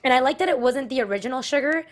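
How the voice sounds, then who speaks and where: A woman's voice: sits high, lively intonation, very fast. A woman hosting a casual talk show, chatty and rambling as she leads each segment. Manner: chatty, rambling, many fillers. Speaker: host. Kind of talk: casual chat, leading the segments.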